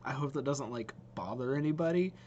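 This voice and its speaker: A young adult man hosting a reaction video with casual, expressive, informal commentary. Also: mid-range voice, a little fast, highs cut off, slight background noise.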